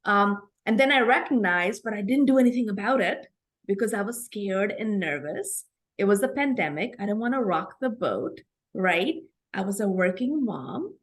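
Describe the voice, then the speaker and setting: A woman's voice: medium pitch, expressive, normal speed. Earnest Indian-accented woman. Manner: earnest, reflective, often ends points with "right?". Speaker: guest. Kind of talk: conversational interview.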